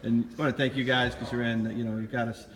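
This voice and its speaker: An upbeat man interviews guests in a loose, rambling way, full of "like" and "uh". His mid-pitched voice rings with some hall reverb, and a crowd chatters clearly behind him. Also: flat delivery, fast talker. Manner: upbeat and enthusiastic, rambling with fillers. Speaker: interviewer, host. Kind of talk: casual conversational interview.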